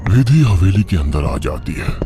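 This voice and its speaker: A man's voice: low-pitched, very animated, moderate pace. A man narrating a scripted horror story in a dramatic, suspenseful way. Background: background music.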